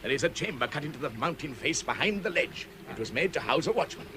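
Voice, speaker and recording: An actor playing a proud old dwarf leader in an audio drama, commanding and theatrical. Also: male voice, fairly high pitch, animated, quick pace, noisy background, natural voice. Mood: brisk, commanding. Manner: theatrical, declarative.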